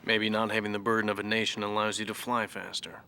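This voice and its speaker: Flat, sharp, proud military pilot. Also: male voice, on the low side, a little hiss or hum. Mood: cold, bitter. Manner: measured, disdainful. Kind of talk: dramatic interview monologue.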